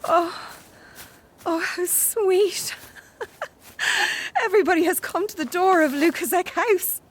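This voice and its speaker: An actress playing a woman recording a final phone log in an audio horror drama, halting and intimate. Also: extremely high pitch. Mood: adoring, entranced. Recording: noisy phone voice memo with ambience.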